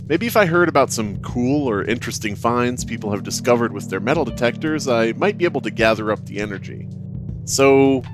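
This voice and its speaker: A man's voice: mid-range voice, close to an everyday voice. A man playing a casual, friendly internet poster, reading a scripted post in a chatty, informal way. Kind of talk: scripted first-person narration, conversational. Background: music.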